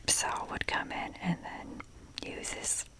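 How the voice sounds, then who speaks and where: Whispering woman, slow rambling ASMR. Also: bright, forceful tone. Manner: rambling, self-deprecating, casually sweary. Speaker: ASMR video creator.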